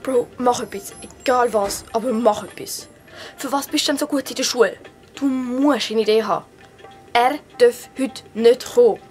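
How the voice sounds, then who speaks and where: A woman with a Swiss German accent playing a stubborn, excitable schoolgirl in a children's radio drama, using colloquial youth slang. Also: a little high, normal speed, soft background music, lightly acted. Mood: urgent pleading. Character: cheeky scheming schoolgirl Ricarda, stubborn and excitable.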